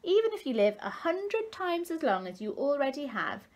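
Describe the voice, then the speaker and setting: A woman's voice: sits high, big pitch swings, normal speed, a little mellow, voice pushed up. A woman reading a storybook as a plucky, outspoken, indignant young male little fish. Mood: defiant.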